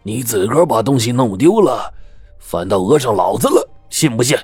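A man voicing a grumpy old gatekeeper who talks slowly and officiously. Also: on the low side, a little mellow, no echo, faint music behind, natural voice. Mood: scolding.

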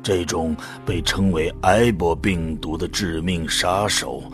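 A man playing a somber, resolute volunteer who narrates solemnly and deliberately in a science fiction audio drama. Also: deep voice, over music. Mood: solemn, bleak. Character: somber, resolute male volunteer narrating humanity's last refuge. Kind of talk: scripted dramatic first-person narration.

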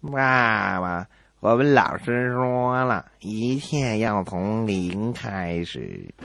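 Radio joke sketch: innocent, literal-minded schoolboy, hesitant delivery, animated intonation played by a man. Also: lowish voice, extremely slow, gravelly, voice pushed down, exaggerated voice.

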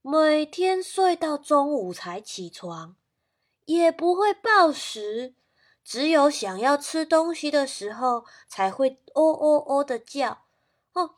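A woman's voice: high-pitched, big pitch swings, slow, voice pushed up, heavily acted. A woman doing a grumbling old grandpa. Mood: exasperated. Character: grumbling old grandfather, fed up with lazy rooster.

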